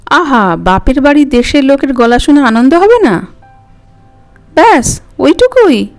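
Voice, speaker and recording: A woman's voice: high-pitched, sing-song, measured pace, voice pushed up, heavily acted. A woman voicing an elderly grandmother who is coy, wistful and fondly scolding in a dramatic story reading. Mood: bashful denial. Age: older. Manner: coy, reminiscing, mildly snappish. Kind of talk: dramatic audiobook character reading. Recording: music under the voice.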